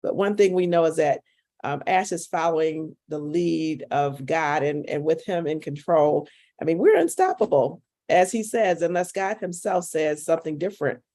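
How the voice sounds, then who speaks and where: A woman's voice: deep voice, expressive, normal speed, warm tone. A woman speaking off the cuff in an earnest, emphatic, repetitive motivational way. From United States, Michigan. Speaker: community member guest. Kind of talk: unscripted motivational talk.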